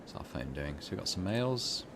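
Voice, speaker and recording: A man's voice: low voice, big pitch swings, moderate pace, fairly bright tone, slight background noise. A man giving casual let's-play commentary as a gaming host, thinking aloud and reading on-screen text out.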